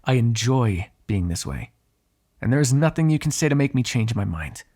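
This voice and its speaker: A man playing an ageless, cynical male vampire in an audio roleplay, with a gravelly, husky voice and a sardonic, exasperated manner. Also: medium pitch, big pitch swings, normal speed, warm tone. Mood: sardonic, menacing. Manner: sardonic, drawling, swearing, exasperated asides. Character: ageless male vampire, cynical, menacing, grudgingly fond captive. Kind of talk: ASMR roleplay, one-sided dramatic dialogue.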